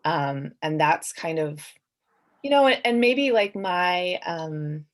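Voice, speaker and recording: A woman's voice: lowish voice, expressive, slow. A woman author talking casually and reflectively on a panel, hedging and saying "like" often as she thinks aloud.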